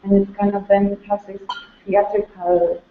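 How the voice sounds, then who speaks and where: A woman talks casually in a group interview, feeling for her words in a narrow, even pitch. Her voice comes through a tinny call with strong room echo and faint noise. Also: moderate pace. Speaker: member of an art and design collective. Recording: echoey, noisy, thin phone-like online call.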